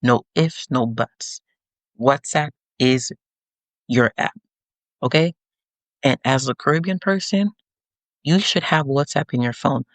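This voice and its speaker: A young adult woman hosting a podcast, giving a sassy, animated monologue with teasing asides to her listeners. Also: very deep voice, slow, long pauses, dry recording. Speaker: podcast host.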